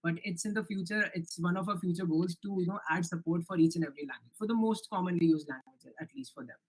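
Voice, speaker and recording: Young Indian man demoing software. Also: sits high, fast talker, rough recording. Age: young adult. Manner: earnest, many restarts and "uh" fillers, explanatory. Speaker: student developer presenting his project. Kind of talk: live project demo with Q&A.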